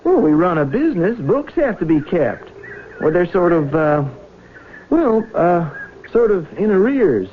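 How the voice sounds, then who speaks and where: An actor in a 1970s radio drama playing an amiable, earnest younger brother back from college, with a hesitant, conciliatory, folksy manner. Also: male voice, high-pitched, very animated, measured pace. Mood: earnest, tactful. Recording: noisy, muffled vintage radio broadcast.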